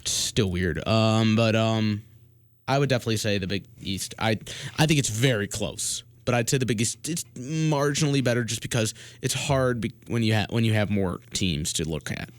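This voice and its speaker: An American man co-hosting a sports talk show, giving opinions in a casual, halting way. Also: fairly low pitch, no room sound.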